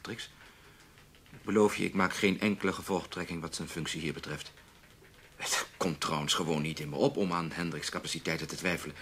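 A man's voice: low-pitched, pushed and bright. A man with a Netherlands Dutch accent playing a stern, self-justifying middle-aged newspaper chief in a radio play. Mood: dismayed, conciliatory. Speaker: radio drama actor. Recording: faint background noise.

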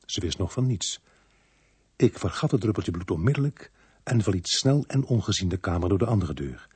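A man's voice: low voice, warm tone, husky, no echo, exaggerated voice. An actor playing a weary, compassionate male police inspector in a radio drama, both speaking and narrating in the first person. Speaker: actor in the lead and narrating role.

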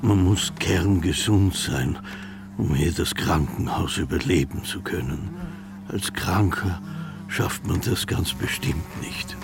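A man's voice: low-pitched, sing-song, slow, gravelly, exaggerated voice. A man playing a sardonic sick prisoner in a camp barrack, speaking with bitter irony in a radio play. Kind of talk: German radio play acting. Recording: noisy track with humming buzz.

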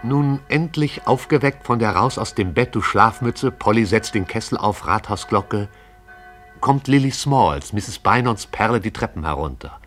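A man giving brisk, vivid scripted narration for a radio play. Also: on the low side, lively intonation, a bit bright, soft background music.

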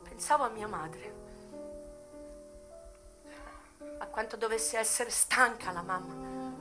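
A woman's voice: a little high, close to an everyday voice. An actress playing an American brothel madam who recalls her hard youth in a bitter, frank, emotive theatrical monologue for radio. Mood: weary, pitying. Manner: emotive, deliberate phrasing with sharp swings from hushed to loud. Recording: voice over music. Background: music.

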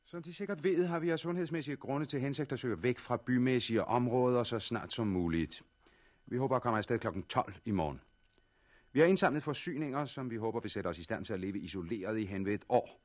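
A man acting a businesslike army colonel in a radio play. He briefs in a medium-pitched voice, official and orderly in manner. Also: animated, natural voice.

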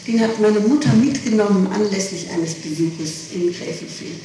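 A woman's voice: mid-range voice, moderate pace, mellow. A middle-aged woman answering questions in an interrogation, hesitant, repeating and correcting herself. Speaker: witness under questioning. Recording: echoey, distant, noisy 1960s archive tape.

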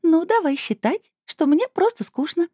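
A woman reader voicing a playful, coaxing female being with a soft, enveloping mezzo voice in a storybook. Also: very high-pitched, animated, normal speed, no echo, clean studio sound, voice pushed up. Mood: coy, playful. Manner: purring, teasing, coaxing.

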